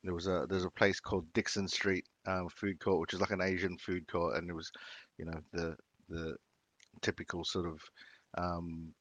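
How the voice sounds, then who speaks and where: A man's voice: low-pitched, little pitch movement, moderate pace, a bit bright, no echo. A man, talking casually in an interview, with many fillers and restarts. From Philippines, raised in Sydney. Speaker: chef.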